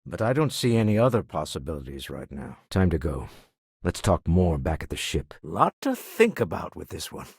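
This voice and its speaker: Husky, low-voiced older tycoon. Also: male voice, huge pitch swings, moderate pace, no room sound, clean studio sound, exaggerated voice. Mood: brisk, persuasive. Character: shrewd, wealthy older businessman explorer, proud and wry. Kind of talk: video game character dialogue.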